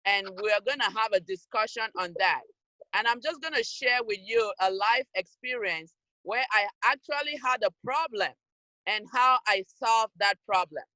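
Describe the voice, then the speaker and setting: Bright, emphatic woman. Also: on the high side, moderate pace, no room sound, rough recording. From Cameroon. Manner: emphatic, scolding, direct to listeners. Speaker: youth program speaker. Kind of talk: motivational talk to young people. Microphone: video call.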